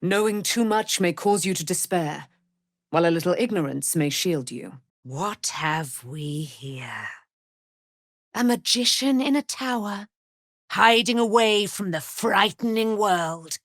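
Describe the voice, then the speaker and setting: A woman's voice: medium pitch, lively intonation, a little slow, dry recording, studio quality. A woman playing a proud immortal warrior, daughter of a moon goddess, in theatrical fantasy game style with grandiose, archaic diction. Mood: haughty resolve.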